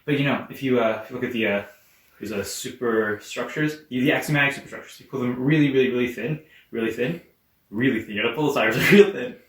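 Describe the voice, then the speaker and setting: A man in a comedy skit talking in a rambling, halting way. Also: mid-range voice, a bit bright. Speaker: performer in a skit. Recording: echoey room, mic far off.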